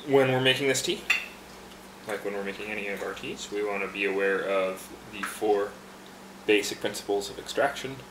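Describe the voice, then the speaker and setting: A man with a fairly low voice demonstrates tea brewing from behind a bar, chatty and relaxed, often pausing with fillers. The room rings with echo, and steady background noise sits under his voice. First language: English. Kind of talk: casual instructional demonstration.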